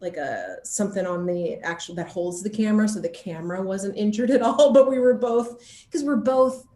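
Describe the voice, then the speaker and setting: A woman actor chatting casually in an interview and telling anecdotes in an animated, laughter-prone way. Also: medium pitch, moderate pace, reverberant.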